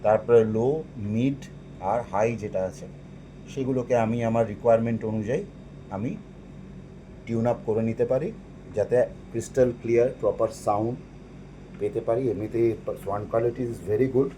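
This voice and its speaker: A man's voice: medium pitch, normal speed, mellow, slight background noise. A man with a Bengali accent giving an informal step-by-step equipment walkthrough, explaining and mixing in English technical terms. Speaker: presenter demonstrating audio equipment.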